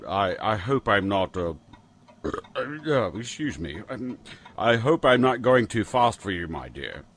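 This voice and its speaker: An actor playing a pompous, lecherous, doddering British Prime Minister in a comic audio drama, with an English accent and hesitant mumbling. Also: male voice, fairly low pitch, big pitch swings, unhurried, fairly bright tone, exaggerated voice. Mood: grandiose, bumbling.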